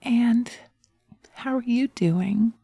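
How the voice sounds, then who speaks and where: Woman playing a sly, mellow, seductive hypnotist witch. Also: fairly high pitch, breathy edge, dry recording, studio quality, exaggerated voice. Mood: seductive, hypnotic. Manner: slow coaxing, soothing, intimate, often slipping into whispers. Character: sly, seductive, vengeful female witch casting a hypnotic spell. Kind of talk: hypnosis roleplay audio. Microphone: close binaural mic, dry.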